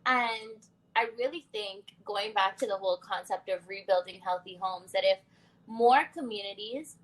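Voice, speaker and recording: A young adult woman hosting a podcast interview in a mid-pitched voice. She talks animated and reflective, and she laughs easily as she shares her thoughts. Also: moderate pace, rough recording. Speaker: podcast host. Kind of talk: conversational interview.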